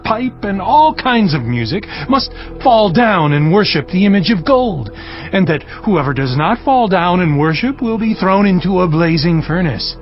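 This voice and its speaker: A formal, accusing court astrologer, voiced by a man, speaks high and with great animation. It is scripture audio drama, with faint music behind. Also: sing-song, moderate pace, highs cut off. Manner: formal, denouncing. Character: accusing male court astrologer addressing his king.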